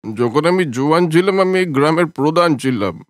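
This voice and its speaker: A man voicing an old male village swindler in a cartoon, grumbling in a lower, gruffer voice than his own. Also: expressive, fairly quick, dry recording, clean studio sound, natural voice. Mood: confessing. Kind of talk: Bengali cartoon voice acting.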